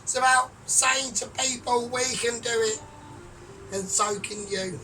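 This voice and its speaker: A man talking through his cooking in a rambling, grandiose, sweary way, often trailing off quiet. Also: very high voice, measured pace, slight background noise. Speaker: home cook vlogger. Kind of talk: casual cooking vlog. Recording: distant mic with music behind.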